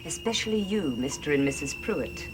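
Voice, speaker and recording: An actress playing an imperious witch high priestess of a devilish cult in a gothic soap opera, solemn, commanding and incantatory. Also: lively intonation, moderate pace, background noise.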